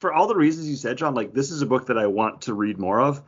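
A man's voice: medium pitch, expressive, fast, breathy edge, lo-fi sound. A man talking casually and conversationally as a co-host of a comic book review podcast.

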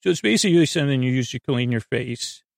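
A man hosting a bedtime podcast in a meandering, halting way, with improvised digressions and words that trail off unfinished. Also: medium pitch, expressive, vocal fry, dry recording, clean studio sound. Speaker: podcast host. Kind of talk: rambling improvised bedtime monologue.

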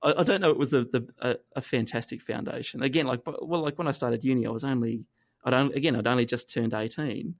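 An Australian man in his thirties, a guest reflecting on his past in a halting, self-deprecating way, with many restarts and fillers. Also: medium pitch, vocal fry. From northern New South Wales, Australia. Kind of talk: reflective conversational interview.

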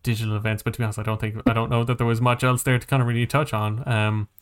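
A man's voice: mid-range voice, flat delivery, racing, clean tone. Man rambling casually on a podcast. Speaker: podcast co-host.

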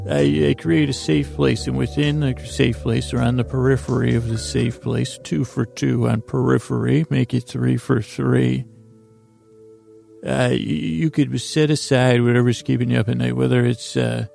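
A man's voice: mid-range voice, flat delivery, normal speed, vocal fry, warm tone, soft background music. A man hosting a sleep podcast, rambling through a meandering bedtime monologue and stumbling over words on purpose. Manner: rambling, self-interrupting, botches words on purpose.

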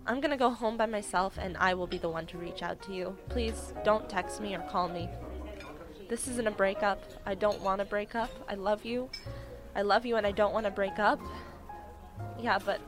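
An actress playing a gentle, indecisive city woman in an audio drama, speaking in an earnest, careful, halting way. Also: medium pitch, natural voice. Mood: tearful, firm. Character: gentle, hurt, indecisive city woman, werewolf's best friend. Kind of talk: audio drama acting, naturalistic. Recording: music playing behind the voice.

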